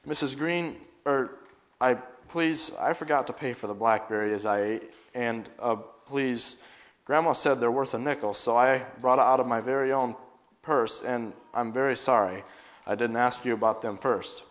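A sheepish little boy from a children's storybook, hesitant and guilty, tripping over his words, as a man reading puts him on. He speaks a little higher and quieter than the narration. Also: normal speed, close to an everyday voice. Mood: nervous. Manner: hesitant and shy.

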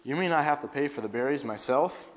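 A man's voice: mid-range voice, expressive, fast talker, close to an everyday voice. A man reading as a sheepish little boy. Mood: pleading. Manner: hesitant and shy. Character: sheepish small boy, timid and guilty.

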